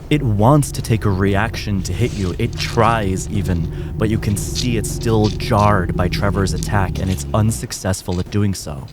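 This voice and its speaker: A man narrating a tabletop game with a calm, descriptive delivery. His voice sits fairly low, with music playing behind. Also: a little slow, hardly any pauses. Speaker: game master.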